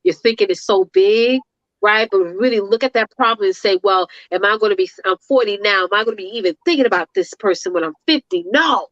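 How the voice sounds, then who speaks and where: A woman's voice: mid-range voice, a little fast, a bit bright, dry recording, highs cut off. A woman in her thirties co-hosting a talk show, speaking in an earnest, motivational way and repeating her points for emphasis. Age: 30s. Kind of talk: casual talk show chat.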